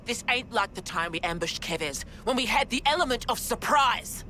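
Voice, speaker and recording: Forceful, commanding young woman soldier. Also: on the high side, animated, normal speed, slight background noise. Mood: stern, commanding. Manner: commanding, blunt. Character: stern, protective young female soldier giving orders. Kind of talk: video game voice acting.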